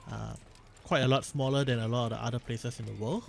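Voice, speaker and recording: A man's voice: medium pitch, fairly even intonation, fast talker, vocal fry, a little hiss or hum. A young man from Singapore, hosting a casual let's play and rambling on, often correcting himself mid-sentence. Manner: rambling, self-correcting, chatty. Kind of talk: casual gameplay commentary.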